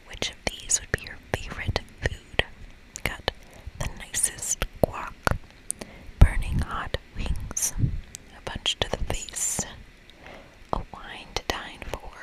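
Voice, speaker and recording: A woman whispering breathily in an ASMR style as she hosts a game playthrough, reading aloud and commenting softly. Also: rough recording. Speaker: ASMR gaming host.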